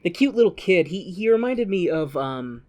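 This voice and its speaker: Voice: medium pitch, big pitch swings, moderate pace, darkish tone. A podcast host chatting casually through a movie recap, with crude, profane joking and bits of acted-out dialogue.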